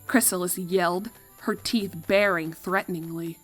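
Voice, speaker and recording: A woman's voice: fairly low pitch, fairly even intonation, unhurried, soft background music, poor audio. A woman reading a fan fiction story as a scripted narration.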